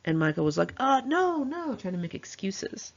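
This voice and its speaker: Animated, husky American woman reading her memoir. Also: mid-range voice, moderate pace, lo-fi sound. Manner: conversational, slangy, dramatizes quoted dialogue. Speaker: author reading own memoir. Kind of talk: informal first-person memoir narration.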